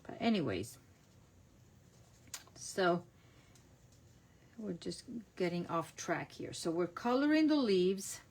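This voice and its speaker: Slow-talking Italian-accented woman crafter. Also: fairly low pitch, big pitch swings, darkish tone. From Italy. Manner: chatty, narrates each step, self-correcting. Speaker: crafting demonstrator. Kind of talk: casual online craft class.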